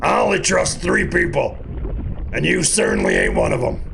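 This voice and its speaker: An actor playing a gruff, jittery but loyal soldier in an audio drama, with a cracking gravelly voice and a folksy, excitable manner. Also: male voice, fairly high pitch, moderate pace, background noise, full character voice. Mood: grumbling, distrustful.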